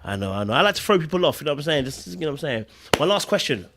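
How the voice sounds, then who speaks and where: A man's voice: fairly high pitch, very animated, very fast, background noise. Playful guy hosting a podcast, reassuring, voice jumps all over the place. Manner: playful and reassuring, quiz-show teasing. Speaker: podcast host. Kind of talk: casual interview conversation.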